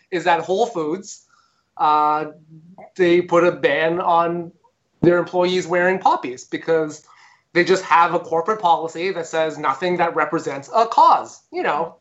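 A man's voice: sits high, fairly bright tone. A man, a comedian co-hosting a podcast, talking in sarcastic, profane casual chat. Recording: dull lo-fi sound.

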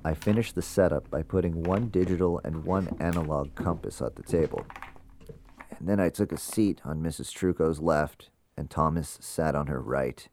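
Deep-voiced ghost hunter narrator. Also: male voice, moderate pace, a little mellow, slight background noise. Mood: measured, tense. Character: brooding male ghost hunter narrating his investigation in first person. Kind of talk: scripted first-person audio drama narration.

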